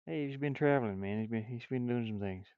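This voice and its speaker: A man's voice: mid-range voice, quick pace, clear, resonant tone, no echo, phone-quality sound. A man talking casually to himself as he draws, absent-minded, with playful sing-song asides. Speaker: game artist streaming a drawing session. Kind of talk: casual muttering while drawing.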